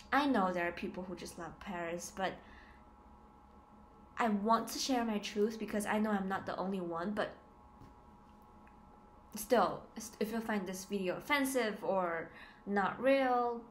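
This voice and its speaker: A woman in her twenties talking casually and confessionally to a camera indoors, hesitant yet emphatic, often repeating her words. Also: mid-range voice. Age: 20s. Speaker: student living abroad, vlogger. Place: small plain room indoors. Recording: noisy home camera recording.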